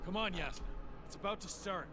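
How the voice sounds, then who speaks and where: Guy doing pushy sci-fi commander, highish voice, urgent, game acting, loud music over it. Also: a little fast, lightly acted. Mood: impatient. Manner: urgent, demanding, pressing. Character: tense, impatient male space expedition commander, obsessed with battle. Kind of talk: dramatic video game voice acting.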